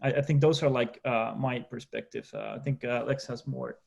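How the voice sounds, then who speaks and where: A young man from Finland with a Finnish accent, speaking as a debate panelist on a video call, often pausing and restarting. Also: mid-range voice, gravelly, a little mellow. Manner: hesitant, many restarts and fillers.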